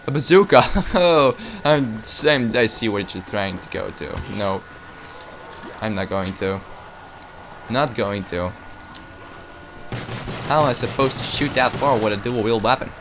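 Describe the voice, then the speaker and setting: A man's voice: on the low side, expressive, measured pace, long pauses. A young man giving casual, rambling, self-mocking commentary while playing a game. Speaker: gameplay commentator. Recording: noisy game audio behind.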